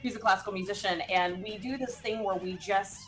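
A woman's voice: mid-range voice, fairly even intonation, brisk, soft background music. A woman co-hosting an opera talk show, chatting in a playful, bubbly way. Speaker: co-host. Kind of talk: casual chat.

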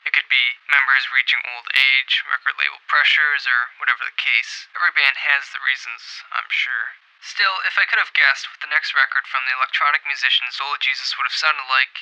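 A man reading a scripted album review as host, in a measured, articulate manner with formal wording. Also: medium pitch, sharp tone, smooth, poor audio.

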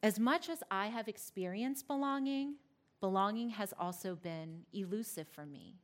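A woman's voice: medium pitch, normal speed. A woman from Philadelphia giving a personal talk to a live audience, telling her story with playful asides to the crowd. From Philadelphia, United States.

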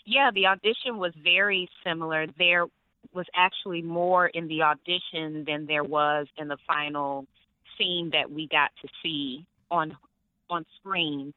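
Casting note: young actress, animated storyteller, smooth voice on a tinny phone line. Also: female voice, deep voice, a little slow, dry recording. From Atlanta, Georgia. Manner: lively storytelling, laughs often. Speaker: actress, interview guest. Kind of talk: interview on the phone.

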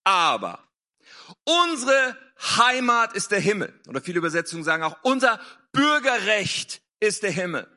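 A man's voice: high voice, very animated, bright, forceful tone. A man reading scripture and interpreting a sermon phrase by phrase in an earnest manner. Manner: quick, earnest, restating phrases.

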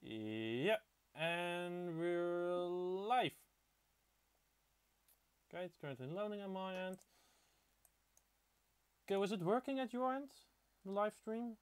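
A man's voice: high-pitched, lively intonation, slow pace, pauses often, smooth. A 19-year-old man from the Netherlands casually hosting a live hobby podcast, stumbling a little over his words. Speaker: podcast host.

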